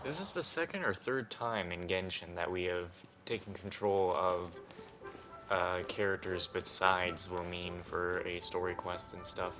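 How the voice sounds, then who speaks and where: A man's voice: low voice, fairly even intonation, a little slow, over music. A man hosting a gaming video with casual playthrough commentary.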